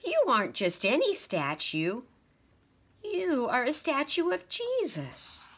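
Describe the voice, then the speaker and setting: A woman voicing a small excitable male mouse in a Christmas storybook, with a squeaky high voice and emphatic delivery. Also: slow, heavily acted. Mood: tender.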